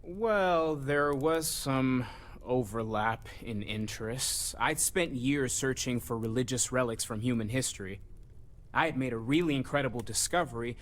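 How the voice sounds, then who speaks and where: An actor playing a kind, earnest scholar turned space explorer in a video game, speaking in a gentle, conversational way. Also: male voice, mid-range voice, close to an everyday voice. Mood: reflective. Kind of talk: video game character dialogue.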